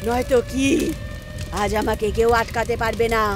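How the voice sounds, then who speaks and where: A woman acts out a vengeful female ghost in a horror cartoon. She is bitter and dramatic, hurling accusations as a betrayed young wife, with loud music behind her. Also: on the high side, fairly even intonation, normal speed, lightly acted. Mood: menacing.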